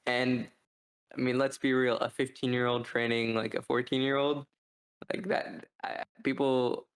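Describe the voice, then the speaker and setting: A man of middling pitch talks as a podcast co-host with very little rise or fall in his voice. He is casual and rambling, hesitating and hedging as he works through his thoughts. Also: level tone, a little slow. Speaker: podcast co-host reviewing books. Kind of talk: casual discussion.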